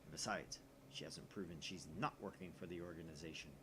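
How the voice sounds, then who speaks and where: Man playing a pompous, low-voiced paranoid young man. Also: brisk, background noise. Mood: haughty, suspicious. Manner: pompous, dramatic. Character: self-important paranoid young man, theatrical pseudo mad scientist.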